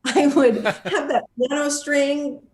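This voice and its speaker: A woman giving a casual interview as an actor, speaking in an animated, self-deprecating way with playful exaggeration. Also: high voice, slow pace. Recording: roomy, echoing sound.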